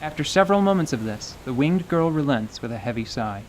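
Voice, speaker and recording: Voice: medium pitch, animated, a little fast, background noise. A narrator reading scripted story prose for an audio drama. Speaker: narrator and voice actor.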